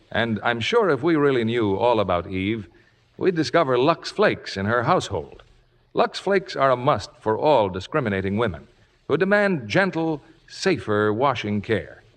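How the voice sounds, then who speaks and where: A man presenting a 1950s radio theater show in a formal, polished, measured, scripted manner. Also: mid-range voice, a bit bright. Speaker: radio theater host and announcer. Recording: old radio broadcast with faint music.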